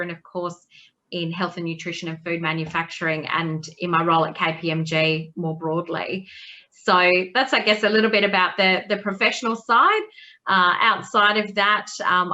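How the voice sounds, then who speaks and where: A middle-aged Australian woman answering interview questions in a conversational way, often restarting and filling pauses. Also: mid-range voice, pushed and bright, highs cut off. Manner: conversational, frequent restarts and fillers. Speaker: guest.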